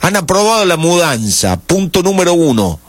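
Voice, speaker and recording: Male radio commentator, Argentine accent, slightly high voice, close dry sound, rhetorical and pointed. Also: big pitch swings, normal speed, dry recording. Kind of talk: opinion commentary, conversational. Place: radio studio.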